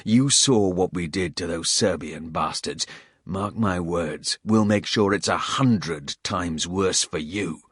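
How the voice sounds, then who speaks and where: A man voicing a hard, menacing male soldier who interrogates a prisoner with slow threats. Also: low-pitched, fairly bright tone, breathy edge, no room sound, studio quality, full character voice.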